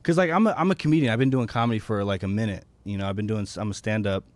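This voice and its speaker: A man's voice: fairly low pitch, rapid-fire, dry recording. A man from Florida chatting casually as a comedian guest in an interview, often restarting and correcting himself mid-thought. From Florida, United States.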